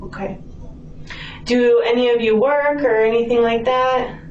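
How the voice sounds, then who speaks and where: Distant roomy audio, american lady detective asking questions, sympathetic, voice on the high side. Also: steady tone, unhurried, darkish tone. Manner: sympathetic, frequent checking questions. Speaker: police detective. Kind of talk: police interview questioning. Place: police interview room. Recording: distant echoey mic, dull audio, faint noise.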